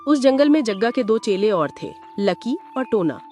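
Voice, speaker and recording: A story narrator with a medium-pitched voice delivers scripted cartoon narration. Loud music plays prominently underneath the voice. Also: expressive, normal speed.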